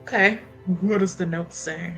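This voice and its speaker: A woman's voice: fairly low pitch, fairly even intonation, measured pace, gravelly, a bit bright, faint music behind. A woman playing a tabletop roleplaying game, talking in casual, improvised table conversation.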